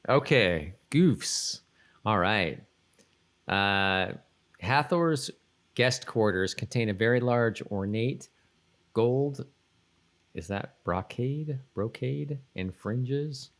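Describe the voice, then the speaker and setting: A man's voice: mid-range voice, lively intonation, drawn-out words, fairly bright tone. A man co-hosting a podcast in casual, rambling chat with lots of hedging, sometimes reading notes aloud. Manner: rambling, hedging, often saying "like". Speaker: podcast co-host.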